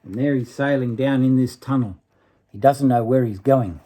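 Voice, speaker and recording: A man's voice: mid-range voice, normal speed, mellow. An older man reading a children's story aloud expressively, dramatically, with asides to a young listener. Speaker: storybook reader.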